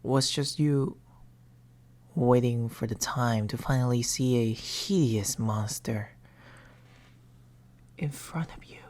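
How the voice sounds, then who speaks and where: A woman voicing a patient, mournful male sleep paralysis demon in a hushed, formal ASMR roleplay, often whispering close to a binaural microphone. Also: rumbling low, takes it slowly, long pauses. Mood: wounded, brooding.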